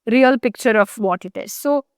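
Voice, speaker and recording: A woman's voice: a little high, normal speed, no room sound. Thoughtful woman explaining, dry sound. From Chennai, India. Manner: thoughtful, explanatory, frequent fillers and restarts. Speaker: journalist guest. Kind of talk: conversational interview.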